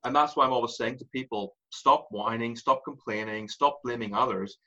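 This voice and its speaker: A man in his forties with an Irish accent, a guest telling his story in an animated way and laughing through it. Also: medium pitch, normal speed, highs cut off. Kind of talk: candid interview storytelling.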